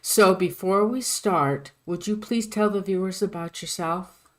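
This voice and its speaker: A woman's voice: medium pitch, a little slow. A woman hosting a live paranormal podcast, gushing and enthusiastic, who often stumbles and restarts her sentences. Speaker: podcast host.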